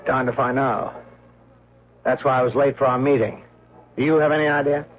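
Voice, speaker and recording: A man's voice: medium pitch, steady tone, normal speed, soft background music, natural voice. An actor playing a scheming, domineering male guardian with a clipped, imperious manner, in 1970s radio drama style. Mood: brisk, self-serving. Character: scheming, domineering male guardian, coldly threatening, self-serving. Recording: muffled old radio broadcast.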